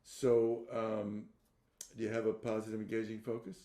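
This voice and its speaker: A man guiding a client through a therapy session over a video call, with gentle, unhurried prompts and many affirming murmurs. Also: lowish voice, flat delivery, reverberant.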